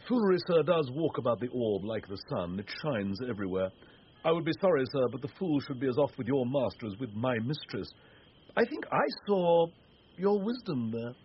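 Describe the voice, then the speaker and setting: Impudent fool for Shakespearean radio comedy, male actor, very lively pitch, teasing wordplay, slightly noisy recording. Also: a little fast, close to an everyday voice. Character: witty male jester, impudent, wordplaying and wheedling. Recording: dull radio recording, faint noise.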